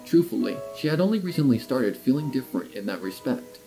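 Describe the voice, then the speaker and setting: A man's voice: medium pitch, sing-song, quick pace, vocal fry, dark tone, faint music behind. A man reading scripted story narration as the narrator of a tale.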